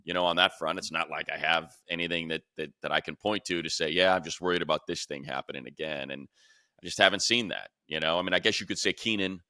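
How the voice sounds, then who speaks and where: A man's voice: low-pitched, fast talker, bright, forceful tone, clean tone, no room sound, studio quality. A middle-aged American man hosting a casual sports discussion, speaking emphatically and with firm opinions. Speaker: sports podcast host, play-by-play announcer.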